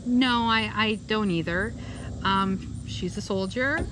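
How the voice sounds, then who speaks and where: A woman co-hosting a laid-back political podcast at a middling pitch, hedging her points with "um" and restarting sentences as she thinks aloud. Faint noise hums behind her. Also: takes it slowly. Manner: conversational, many fillers and restarts. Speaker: podcast co-host. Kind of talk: casual political chat.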